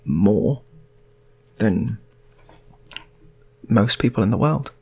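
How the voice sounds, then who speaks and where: A man's voice: on the low side, animated, extremely slow, vocal fry, a little mellow, slight background noise, full character voice. A man voicing a self-important, defensive man in his thirties who insists and repeats himself, in an improvised story.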